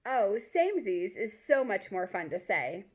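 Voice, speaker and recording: A woman's voice: medium pitch, big pitch swings, a little slow. A woman voicing a bubbly, playful college girl with a breezy, slangy way of talking in a read-aloud story.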